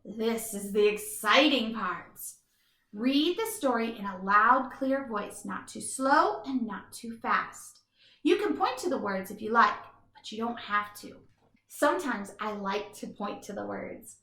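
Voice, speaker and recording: A woman doing an expressive children's read-aloud as a teacher, with emphatic, instructive delivery. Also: a little high, a little slow, reverberant. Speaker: teacher reading a picture book aloud.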